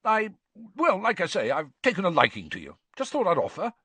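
A man's voice: sits high, huge pitch swings, fairly quick, a bit bright, breathy edge, no echo, voice pushed up, exaggerated voice. A man voicing a jovial, shifty middle-aged sports official in an audiobook, falsely chummy, with a hesitant, confiding, blustering manner. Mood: nervous, evasive.

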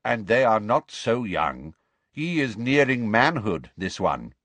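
A man voicing a proud, stern male centaur in a storybook, snarling and deliberate. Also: lowish voice, very animated, fairly bright tone, no echo, heavily acted. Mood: grim.

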